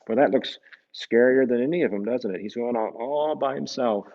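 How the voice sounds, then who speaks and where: A man reading a picture book to children, gently explaining it and often tagging questions onto his remarks. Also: mid-range voice, moderate pace, dull audio. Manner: gentle, explanatory, asks the listeners tag questions. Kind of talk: storybook read-aloud with conversational asides.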